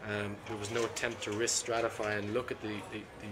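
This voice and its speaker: A middle-aged man, a cardiologist being interviewed at a conference, talks in a measured, analytical way with frequent ums and restarts. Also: fairly low pitch, level tone, noisy background. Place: seated at a conference booth indoors. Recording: constant conference hall ambience.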